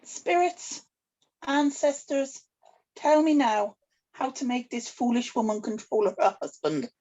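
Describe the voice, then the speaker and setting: A woman's voice: sits high, takes it slowly, dark tone, dull audio. A woman playing a shrewd, theatrical witch who tells fortunes, in a scripted drama table read. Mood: mystical, commanding.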